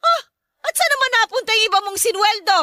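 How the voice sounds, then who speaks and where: A woman's voice: very high-pitched, animated, quick pace, sharp tone, dry recording, studio quality, exaggerated voice. An actress playing a harsh, belittling Filipino mother in a radio drama, scolding and demanding. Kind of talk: Tagalog radio drama acting.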